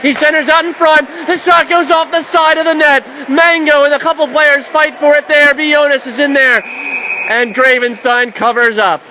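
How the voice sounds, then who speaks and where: A man's voice: very high-pitched, fairly even intonation, moderate pace, bright, forceful tone. A man doing live radio play-by-play of ice hockey in clipped, vivid phrases from a noisy arena. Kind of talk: live sports commentary. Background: clear arena background noise.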